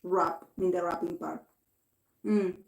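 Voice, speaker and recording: A woman's voice: lowish voice, fairly even intonation, slow, gravelly. A woman from Argentina, a native Spanish speaker, chatting casually in English as host of a livestream, with many restarts. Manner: chatty, many restarts, reading comments aloud. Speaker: tarot reader host. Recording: roomy echo.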